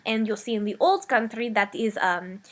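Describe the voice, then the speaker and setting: A woman's voice: a little high, moderate pace. A woman doing Olga, rambling old-country immigrant. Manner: broken English, meandering, deadpan comic storytelling. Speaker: comedic character. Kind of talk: improvised comic character monologue.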